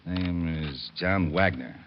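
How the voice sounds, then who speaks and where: An actor playing a weary homicide lieutenant in a 1940s radio drama, gruff and businesslike, with an American accent. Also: male voice, deep voice, slow pace, slight background noise. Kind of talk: 1940s radio detective acting. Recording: muffled old radio recording.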